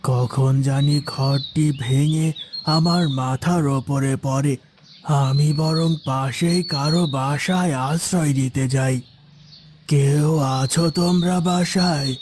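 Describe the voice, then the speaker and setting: A man's voice: on the high side, steady tone, slow pace, noisy background. A man playing a humble, pious, anxious old villager in a moral cartoon, speaking in a prayerful, pleading way. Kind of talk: Islamic moral cartoon voice acting.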